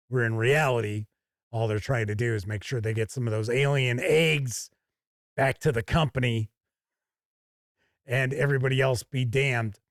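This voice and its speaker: A man hosting a game review, explaining things in a conversational, measured, unscripted way as he talks to camera. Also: medium pitch, no room sound, clean studio sound. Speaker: board and roleplaying game reviewer, show host.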